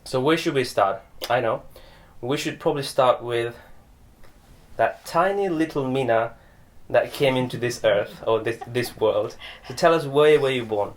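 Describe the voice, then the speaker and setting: Male podcast host, mid pitch, polished intro into easy interview chat. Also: measured pace. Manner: friendly, mixes in Nepali phrases. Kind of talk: scripted intro then casual interview.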